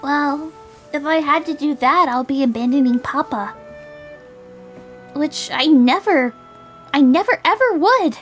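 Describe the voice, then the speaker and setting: Woman acting out a high, eager teenage girl. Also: unhurried, long pauses, faint music behind. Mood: earnest. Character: eager, starry-eyed seventeen-year-old girl time traveler. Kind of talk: visual novel read aloud.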